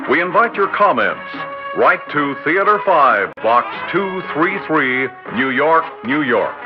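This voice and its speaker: A man giving formal, measured broadcast announcements for a vintage radio drama series. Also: fairly high pitch, big pitch swings. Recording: dull lo-fi vintage radio with music.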